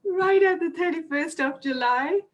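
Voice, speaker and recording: A woman's voice: very high-pitched, moderate pace, dull audio. A woman hosting a casual spiritual chat on a live video call from home, effusive and laughing often. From Australia. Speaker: host.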